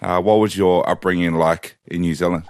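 Male host, deep voice, dry recording, casual and supportive interview delivery. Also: steady tone, brisk, a little mellow, dry recording. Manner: informal, slangy, supportive.

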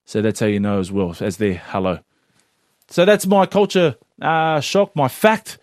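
Male podcast co-host, teasing, easy laugh, dry close mic, mid-pitched. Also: measured pace, no echo. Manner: laughs often, teasing and upbeat. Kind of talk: casual chat.

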